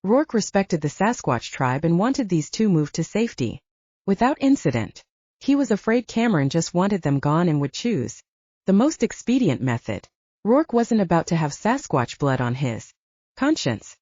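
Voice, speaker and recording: A woman's voice: on the low side, very animated, normal speed, dark tone, husky, no room sound. A woman reading a scripted story as an audiobook narrator, in slightly dull audio.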